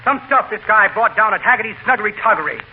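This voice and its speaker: A man's voice: sits high, animated, fast talker. Casting: mocking, slangy radio-comedy delivery guy, muffled and heavily crackling 1940s recording. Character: cheeky wisecracking delivery man. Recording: very noisy scratchy muffled old radio.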